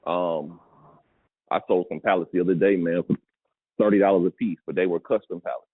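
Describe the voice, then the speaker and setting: A man's voice: low voice, fairly even intonation, brisk, slightly rough, no echo. A man coaching in a casual, conversational way, often checking that the listener follows, as in a business advice call. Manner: conversational, repeats check-in questions for understanding. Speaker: pallet business coach advising a caller. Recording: narrow phone-like call audio.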